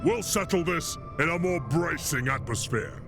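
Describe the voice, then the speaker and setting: Gravelly, swaggering warrior. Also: male voice, fairly high pitch, animated, normal speed. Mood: mocking, defiant. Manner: swaggering, theatrical. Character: boastful, taunting warrior spoiling for a duel. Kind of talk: video game cutscene acting. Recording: loud music behind the voice.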